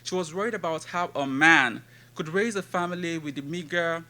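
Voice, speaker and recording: Bright-voiced Nigerian man reading poetry. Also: on the high side. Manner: measured, rhythmic recitation with listed phrases. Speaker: conference speaker and poet. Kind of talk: academic talk with poetry reading.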